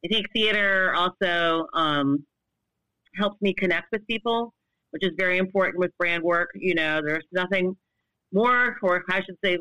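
A woman in her fifties, a longtime bartender and brand ambassador, answering interview questions in a loud, well-projected, animated voice. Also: on the low side, measured pace, no room sound, low-quality recording. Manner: animated, laughs often.

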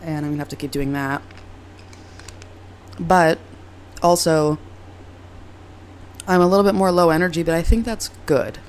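A young woman hosting her own podcast, hoarse and raspy from a cold, chatting in a rambling, self-deprecating way. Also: low-pitched, fairly even intonation, moderate pace, long pauses, a little mellow, a little hiss or hum. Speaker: podcast host. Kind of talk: casual solo podcast chat. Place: moved from Reno to LA, recording at home.

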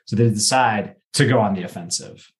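A man chatting through a casual plot recap as a podcast co-host, telling it in an animated way with acted-out dialogue. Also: fairly low pitch, a little fast.

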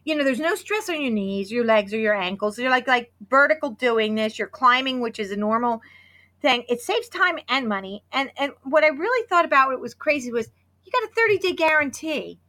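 A woman in her fifties co-hosting a podcast, chatty and excitable, hoarse from losing her voice. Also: sits high, brisk. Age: 50s. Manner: chatty and excitable, with many restarts. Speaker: podcast co-host. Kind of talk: casual podcast chat with sponsor read.

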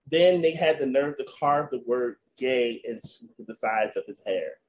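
A man's voice: mid-range voice, animated, unhurried, poor audio. A man hosting a casual podcast, recounting a news story to his cohosts in a storytelling way. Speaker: podcast host.

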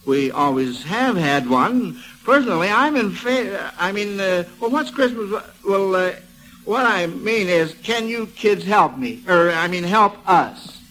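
A man's voice: high voice, a bit bright. A middle-aged American man hosting an old-time radio quiz show in a folksy, chatty way, repeating himself approvingly. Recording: noisy vintage radio broadcast recording.

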